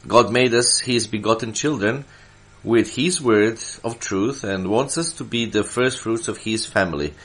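A man from Serbia, a church elder, delivering Bible teaching from prepared notes in a deliberate way, stressing his phrases. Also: lowish voice, fairly bright tone.

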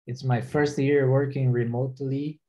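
A man from Brazil, a software engineer introducing himself on a video call panel in a measured, halting way. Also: medium pitch, little pitch movement, dull audio. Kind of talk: casual panel chat.

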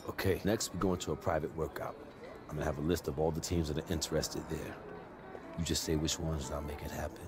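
Casting: middle-aged sports agent, deep voice, calm mentor with dry wit, game cutscene with music behind. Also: male voice, fast talker. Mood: businesslike. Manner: assured, advisory, plain-spoken. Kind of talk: naturalistic video game cutscene acting.

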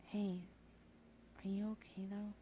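A woman's voice: mid-range voice, flat delivery, slow pace, dark tone, a little hiss or hum, close to an everyday voice, rough recording. A woman playing a sassy, blunt twenty-one-year-old best friend in a casual, slangy audio roleplay, often sighing. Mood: concerned, teasing. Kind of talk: conversational ASMR roleplay acting.

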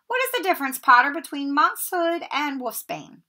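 A woman's voice: high voice, sing-song, moderate pace, bright, forceful tone, voice pushed up, exaggerated voice. A woman reading aloud and voicing a cold, sneering male teacher who snaps at his pupils. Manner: snapping, sneering, harsh.

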